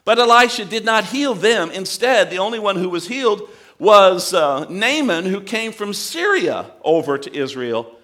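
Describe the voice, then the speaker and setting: A man's voice: sits high, big pitch swings, normal speed, fairly bright tone. A man preaching a sermon, asking the congregation questions and building in intensity. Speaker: pastor.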